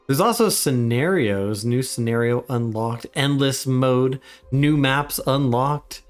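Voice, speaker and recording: A man's voice: medium pitch, takes it slowly. A man, a father of young kids, chatting casually while livestreaming a game, his voice a little congested. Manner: chatty, upbeat, greets chat often. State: slightly congested from a cold. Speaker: livestream gamer.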